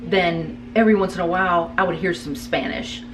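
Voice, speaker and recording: A woman with a somewhat low voice, answering her son in casual conversation. She hesitates and repeats words as she gathers her thoughts. She sounds distant from the mic, with steady background noise. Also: moderate pace. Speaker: guest, the host's mother.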